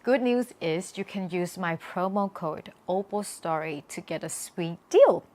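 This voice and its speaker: A woman's voice: lowish voice, unhurried, a little mellow. A young adult woman from Thailand, a native Thai speaker, explaining things emphatically to camera as a vlogger. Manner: emphatic, repeats key points. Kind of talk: talking to camera, explanatory vlog with sponsor pitch.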